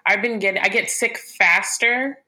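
Bright, forceful woman telling stories. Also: mid-range voice, normal speed. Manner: animated storyteller, addresses listeners as y'all. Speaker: podcast co-host. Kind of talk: casual chat.

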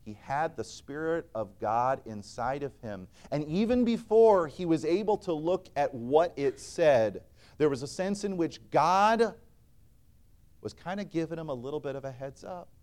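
A man with a fairly high voice delivers a sermon in a church sanctuary. He preaches earnestly and emphatically, rising to loud declarations and dropping to quiet, pointed lines. When he quotes scripture, he slips into solemn old-fashioned wording. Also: animated, a little slow.

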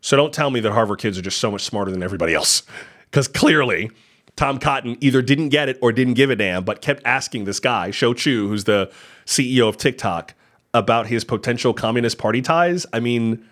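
A man's voice: mid-range voice, fairly bright tone. A man hosting news commentary, talking in a conversational, skeptical way with wry asides.

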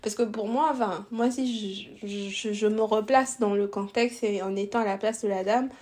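A woman's voice: mid-range voice, fairly even intonation, normal speed, a little mellow. A young woman from Congo hosting a podcast, talking casually, hesitant and full of restarts, asking probing questions. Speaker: podcast host. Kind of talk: casual conversation.

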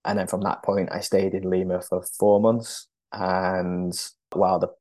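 Low, flat-voiced man, hesitant. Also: measured pace, dry recording. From born in Peru, raised in northern England. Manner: thoughtful, hesitant, frequent restarts and ums. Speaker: podcast guest, adoptee. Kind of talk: conversational interview.

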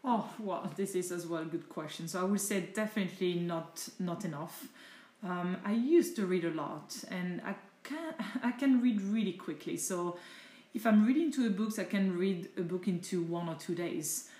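A middle-aged woman from France with a French accent, speaking English rather than her native French, giving chatty, thoughtful answers with searching pauses in a casual home interview. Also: on the low side, dark tone, echoey room. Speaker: interviewee, mother of the host.

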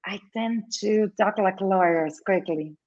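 Slow-speaking Brazilian woman, lo-fi. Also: medium pitch, a little mellow. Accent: Brazilian Portuguese. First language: Portuguese. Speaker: guest, lawyer. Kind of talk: casual conversation. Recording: dull lo-fi sound.

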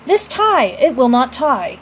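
A blustering storybook father, acted out by a woman narrator, pompous and easily exasperated. The voice is high for the part, with emphatic, sarcastic declamation. There is some noise in the recording. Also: expressive, a little fast, a little mellow. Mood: sarcastic rage. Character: pompous, blustering father, melodramatically exasperated, middle-class Edwardian man.

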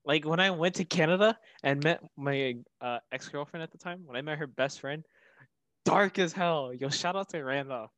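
A man's voice: fairly high pitch, normal speed, fairly bright tone, no echo. A young man co-hosting a podcast, trading casual, swearing, joking banter. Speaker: podcast co-host.

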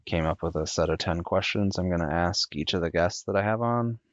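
Male podcast host, low and monotone, hesitant with fillers; dull, dry headset recording. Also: fairly quick, dry recording. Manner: monotone, with many ums and uhs. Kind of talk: casual solo podcast talk. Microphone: USB desktop headset.

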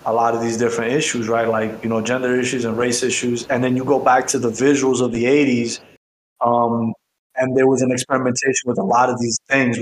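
A man's voice: medium pitch, roomy sound, poor audio. A middle-aged man chatting casually as a podcast host, rambling and slangy.

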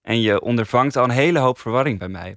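A man's voice: medium pitch, quick pace, a bit bright, no echo, clean studio sound. A man talking casually as a critic on a podcast panel.

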